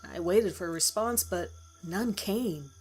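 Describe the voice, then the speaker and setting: A woman's voice: lowish voice, animated, unhurried, darkish tone, breathy edge. A woman reading a first-person horror story as a dramatic scripted narration. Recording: music behind the voice. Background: music.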